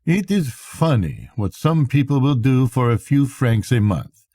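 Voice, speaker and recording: Storybook role: bitter, watchful Swedish captain, voiced by a male reader, precise delivery, very dark tone, husky edge. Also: medium pitch, sing-song, dry recording, pitched above the performer's own voice. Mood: bitter, wry. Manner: precise, deliberate speech. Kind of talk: storybook character dialogue.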